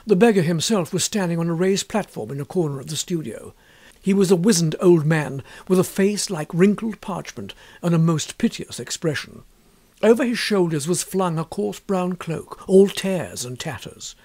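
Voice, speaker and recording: A man reading a literary story as an audiobook narrator, in an expressive scripted style. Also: high voice, moderate pace.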